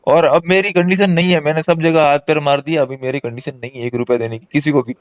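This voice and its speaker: A man with a somewhat high-pitched voice argues on a recorded phone call. He sounds like a borrower pleading his case, insistently repeating his points in a heated exchange. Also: steady tone, quick pace, no room sound. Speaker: borrower on a debt collection call.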